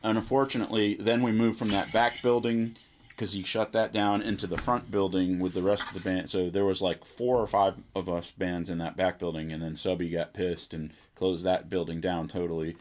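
A man's voice: low-pitched, flat delivery, moderate pace, darkish tone, a little hiss or hum. A middle-aged man telling stories from his musician past in a casual, chatty way. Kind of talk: casual storytelling chat. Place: small indoor music studio, seated at a table.

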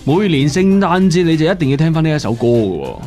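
Casting: man to play chatty, playful pig daddy, Hong Kong Cantonese, children's radio drama, soft music underneath. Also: fairly high pitch, big pitch swings, fairly quick. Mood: affectionate. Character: playful talkative pig dad and husband.

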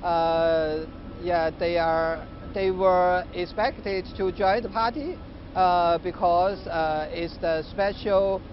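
A middle-aged man, answering a news interview on a city street with many pauses and filler sounds. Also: high-pitched, steady tone. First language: Chinese. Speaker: interviewee, political candidate. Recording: slightly dull street feed, faint traffic.

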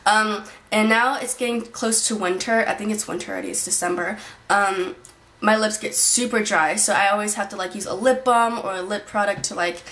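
A woman's voice: mid-range voice, brisk, fairly bright tone. A young woman talking casually in a beauty vlog, chatty and rambling, with lots of fillers and restarts, recorded on a camera mic in a small bedroom. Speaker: beauty vlogger. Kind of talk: casual monthly favorites vlog. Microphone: built-in camera mic at desk distance.

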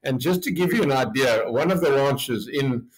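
A man in his late seventies from Zimbabwe, a guest telling his life story in a rambling, relaxed way. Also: medium pitch, normal speed, husky, rough recording. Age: 70s. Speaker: hotel group chairman, guest. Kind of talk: interview storytelling.

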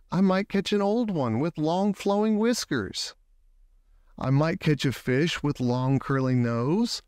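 A man reading a children's picture book aloud expressively, with deliberate phrasing and words drawn out for emphasis. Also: a little high, clean tone, no echo, studio quality. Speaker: storybook reader.

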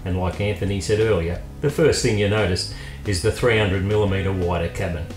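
A man's voice: low-pitched, fairly quick. A man reading a scripted voice-over as the narrator of a vehicle review. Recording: roomy voice-over with music. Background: guitar music.

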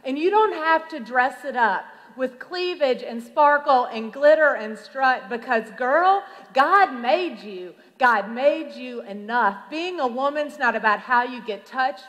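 Female spoken-word poet, higher-pitched, dramatic and rhythmic, heard in a big echoing hall. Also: animated, fairly bright tone. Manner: emphatic, dramatic delivery with drawn-out phrases. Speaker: reverend performing slam poetry. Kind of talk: rhythmic slam poetry recitation. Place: large hall at a youth conference. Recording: reverberant large hall.

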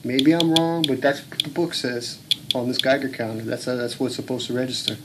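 A man's voice: medium pitch, fairly even intonation, a little fast. Man, casual home vlogger, insistent and blunt, noisy phone audio. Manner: earnest, blunt, swears, speaks up insistently. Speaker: home video blogger. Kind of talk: casual filmed commentary. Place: home room.

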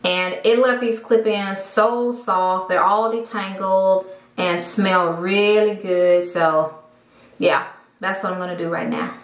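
A woman's voice: mid-range voice, takes it slowly. A woman in her thirties with an American accent, chatting casually and informally to the camera as a vlogger. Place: parked car and rooms at home. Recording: some background noise.

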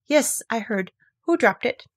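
A woman's voice: fairly high pitch, expressive, a little slow. A woman voicing a gloomy, resigned male donkey in a storybook, grumbling with glum sarcasm.

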